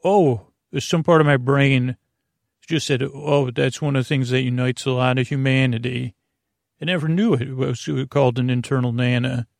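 A man with a creaky, gravelly voice at a middling pitch rambles through a bedtime podcast. He halts, doubles back and pokes fun at himself with whimsical asides, in a close, dry sound. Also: dry recording. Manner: meandering, halting, self-deprecating; whimsical, acting out little imaginary exchanges. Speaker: podcast host.

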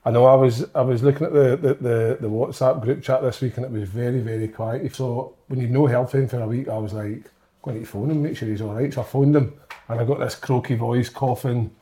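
Bloke, warm dark voice, rambly jokey chat about football. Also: male voice, mid-range voice, fairly even intonation, brisk. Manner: rambling, laughs easily, crude jokes. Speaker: podcast co-host, goalkeeper. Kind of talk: casual banter.

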